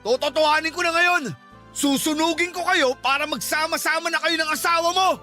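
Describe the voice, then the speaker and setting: An actor with a Filipino accent playing a manipulative, lying ex-boyfriend in a dramatic radio drama style. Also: male voice, extremely high pitch, steady tone, fairly quick, bright, forceful tone, soft background music. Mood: menacing. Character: manipulative, lying ex-boyfriend, by turns wheedling and menacing.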